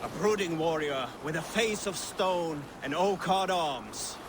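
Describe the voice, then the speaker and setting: A man's voice: on the high side, expressive, a little slow. A man playing a Viking seafarer in a video game, telling a tale in storyteller's phrases. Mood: reminiscing. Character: male Viking seafarer spinning an old raiding tale. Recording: loud water and boat noise.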